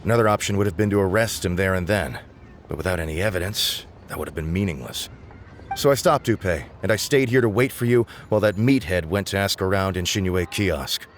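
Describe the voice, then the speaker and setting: Low-voiced, earnest male subordinate agent. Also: expressive, brisk, slight background noise. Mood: serious reporting. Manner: deferential, serious, reporting in detail. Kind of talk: video game voice acting. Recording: music playing underneath.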